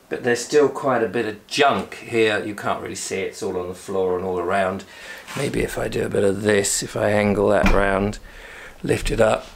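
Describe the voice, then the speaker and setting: Hoarse English man chatting on camera. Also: fairly low pitch, a bit bright. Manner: rambling, chatty, self-deprecating. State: hoarse, recovering from lost voice. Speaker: video blogger. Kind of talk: casual diary vlog. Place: indoors at home and in a flat.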